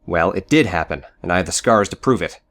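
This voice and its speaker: Irritable young male smoker read by a man, low pitch, gruff audio book dialogue. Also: expressive, fairly quick, a bit bright. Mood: irritated.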